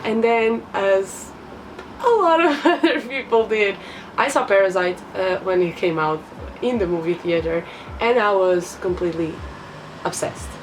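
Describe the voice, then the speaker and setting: A woman's voice: mid-range voice, expressive, a little slow, distant mic, a little hiss or hum, low-quality recording. A woman in her twenties from Portugal with a Portuguese accent, introducing herself in a chatty vlog, laughing often between thoughts. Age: 20s. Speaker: vlogger.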